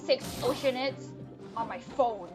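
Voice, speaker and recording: A woman streaming a video game with casual, sarcastic, sweary commentary and deadpan jokes. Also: fairly high pitch, unhurried. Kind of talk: casual live gameplay commentary. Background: video game music.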